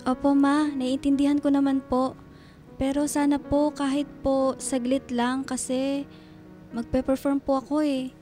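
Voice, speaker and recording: A timid schoolgirl in a radio drama, with a high, soft-edged voice. She is polite, hesitant and hopeful as she pleads with her mother, over a light music bed. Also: little pitch movement, measured pace. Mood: gently pleading.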